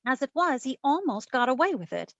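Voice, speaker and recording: A woman's voice: high voice, very animated, fairly quick, a bit bright, no room sound, muffled recording, heavily acted. A woman playing a wisecracking, self-assured female private eye in a wry, slangy 1940s radio detective style. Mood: wry recounting. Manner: wry, slangy, theatrical storytelling. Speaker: community theatre voice actor.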